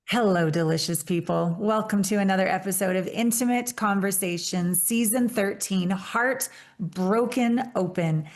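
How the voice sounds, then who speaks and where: A woman's voice: medium pitch, a little slow. A woman in her fifties, a podcast host, giving an effusive, gushing welcome and guest introduction. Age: 50s.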